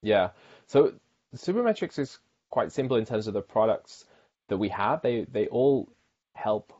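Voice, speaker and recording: A man's voice: deep voice, a little mellow, lo-fi sound. A man from the UK, a marketing director, talking casually as an interview guest with frequent fillers and restarts.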